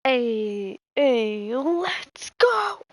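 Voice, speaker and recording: A woman's voice: fairly high pitch, sing-song, extremely slow. A young woman giving playful, slangy commentary while playing a video game. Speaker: gamer streamer. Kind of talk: casual let's-play commentary.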